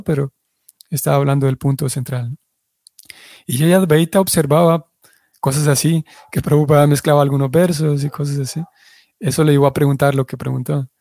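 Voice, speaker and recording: Mellow-voiced man teaching a devotional class. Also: on the high side, no echo, clean studio sound. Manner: explanatory, restarts and self-corrections. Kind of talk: religious class.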